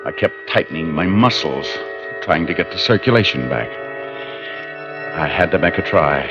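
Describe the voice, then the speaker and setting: An actor playing a tough private detective in an old-time radio drama, speaking in a dry, measured, hard-boiled way. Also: male voice, low-pitched, animated. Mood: grim, weary. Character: tough male private detective recounting his drugging and escape. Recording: muffled old radio recording, music underneath.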